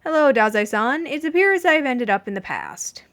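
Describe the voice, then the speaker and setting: A woman voicing a composed, deadpan mafioso man in a fan fiction reading, dry and matter-of-fact. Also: sits high, normal speed, exaggerated voice. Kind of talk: fan fiction audiobook character voice.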